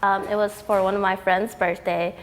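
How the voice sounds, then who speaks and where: A woman's voice: mid-range voice, level tone, normal speed. A young woman from Taiwan, a student artist, talking casually in an interview with frequent ums and likes. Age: young adult. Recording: reverberant hall with faint noise.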